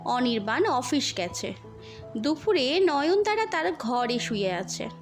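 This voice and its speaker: A woman's voice: high voice, sing-song, slow. A woman narrating a scripted story in a dramatic storytelling style. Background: music under the voice.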